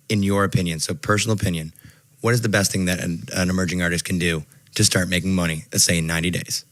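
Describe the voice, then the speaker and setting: A man's voice: sits low, no echo. A producer, a man, casually putting a question to the panel from off-mic.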